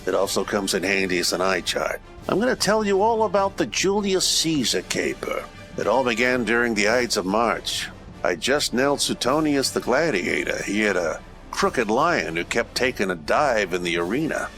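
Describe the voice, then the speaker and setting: Man playing a deadpan hardboiled Roman private eye. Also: fairly low pitch, normal speed, faint music behind. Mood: wry narrating. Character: wisecracking hardboiled ancient Roman private detective, male. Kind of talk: old-time radio detective comedy narration.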